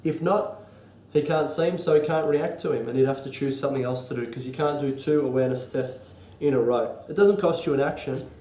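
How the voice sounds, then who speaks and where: An Australian man with a cold and a stuffy nose, explaining board game rules in a halting, casual way full of ums and restarts. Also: medium pitch, warm tone, roomy sound. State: congested from a cold. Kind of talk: casual tabletop rules walkthrough.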